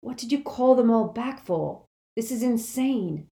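A woman voicing a burdened, evasive teenage boy in an audiobook, halting and strained with urgency. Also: on the high side, lively intonation, dark tone, voice pushed up. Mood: exasperated. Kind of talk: audiobook character voice.

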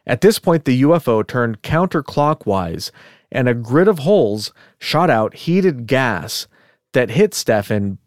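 A man co-hosting a podcast, retelling a story in a chatty, relaxed way with fillers and asides. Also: mid-range voice, no room sound, clean studio sound. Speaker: podcast co-host. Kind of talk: casual storytelling of a case.

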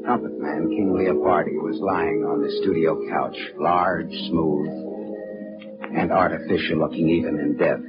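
Casting: a sardonic American private eye, very low voice, terse deadpan delivery, old dull radio sound with music behind. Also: male voice, a little slow. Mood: grim, wry narrating. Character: wry, tough male private detective narrating his case. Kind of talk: 1940s radio drama acting, hardboiled narration.

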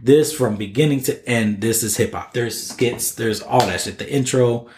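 A man's voice: mid-range voice, moderate pace, a little mellow, a little hiss or hum. An American man chatting casually and enthusiastically about music on a podcast, with slangy, profane language. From US East Coast. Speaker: podcast panelist. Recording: roomy, echoey room.

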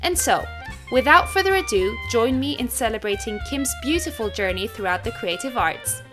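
An upbeat woman hosts a podcast and welcomes her guest. Her voice sits a little high, and music plays clearly behind her. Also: animated, moderate pace. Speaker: podcast host. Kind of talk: scripted introduction then casual interview chat.

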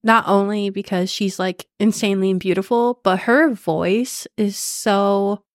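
Slow-talking young woman co-host. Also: mid-range voice, no room sound, clean studio sound. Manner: relaxed, rambling with "like". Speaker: podcast co-host. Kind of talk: casual chat.

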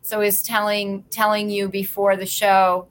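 A woman's voice: medium pitch, flat delivery, a little slow, low-quality recording. A middle-aged woman from upstate New York, a lawyer and guest on a podcast, telling her story earnestly with many small restarts. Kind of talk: podcast interview.

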